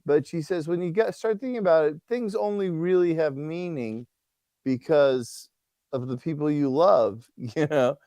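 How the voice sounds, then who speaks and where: A man, a priest, chatting on a livestream and telling stories in an animated way, laughing often. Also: a little high, normal speed, dry recording. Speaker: priest and guest author. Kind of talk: casual livestream conversation.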